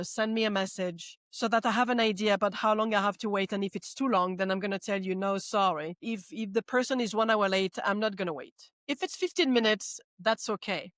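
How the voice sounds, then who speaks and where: A woman's voice: mid-range voice, fairly bright tone, dry recording, studio quality. A woman, hosting and interviewing with thoughtful questions, often saying "you know". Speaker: podcast host. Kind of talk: conversational interview.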